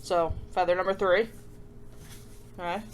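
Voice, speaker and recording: A woman's voice: on the low side, steady tone, measured pace, slight background noise. A woman hosting a children's craft tutorial in a casual, chatty way, with playful self-deprecating asides and frequent check-in questions to viewers. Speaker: host of a children's craft show.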